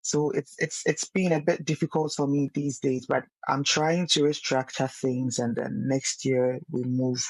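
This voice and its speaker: A woman's voice: a bass voice, steady tone, measured pace, hardly any pauses, husky, no room sound, poor audio. Earnest woman, dry close mic. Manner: earnest, repeats words when searching for them. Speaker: guest singer. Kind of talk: casual podcast interview.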